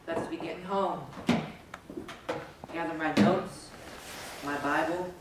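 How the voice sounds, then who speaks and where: A woman's voice: deep voice, steady tone, takes it slowly. A woman on stage playing a sombre, pious townsperson in a readers theater drama. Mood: sombre, subdued. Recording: very echoey hall, distant, noisy.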